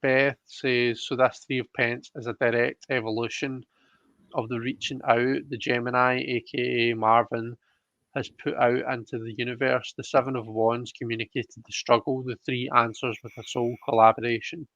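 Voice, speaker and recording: A man chats casually as a livestream host, his voice edged with fry. He is informal and a little hesitant. The sound is close and dry but slightly muffled. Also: medium pitch, flat delivery, moderate pace, a bit bright, no echo. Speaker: host of a live tarot reading show. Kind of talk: casual livestream chat, reading out comments.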